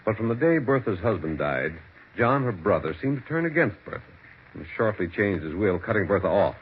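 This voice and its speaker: Family attorney, deep bass, deliberate, matter-of-fact, 1940s radio drama, narrow tinny sound. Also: male voice, sing-song. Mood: discreet, firm. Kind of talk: 1940s radio mystery acting. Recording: tinny, faintly noisy 1940s radio recording.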